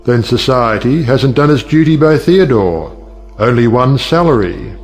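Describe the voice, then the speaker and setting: A witty, cynical middle-aged judge played by a male actor holds forth in a stage-play style. He is sardonic and rhetorical, lecturing his family in a medium-pitched voice, with music behind him. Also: animated, measured pace. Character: witty cynical older uncle, a judge who lectures on marriage. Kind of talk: stage play audiobook acting.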